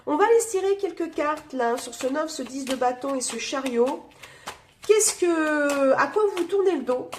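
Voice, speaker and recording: A high-pitched woman reading tarot cards, her voice nasal and stuffed up from a cold. She chats casually with plenty of fillers and speaks straight to her viewers. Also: animated, normal speed, slight background noise. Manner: chatty, many fillers, addresses viewers directly. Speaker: tarot reader.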